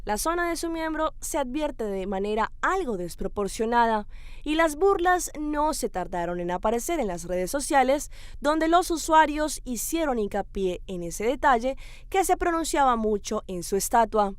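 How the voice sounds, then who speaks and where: Female news presenter, high-pitched voice, dry close sound, reading scripted items. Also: sing-song, moderate pace, smooth, no echo. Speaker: news presenter and street reporter.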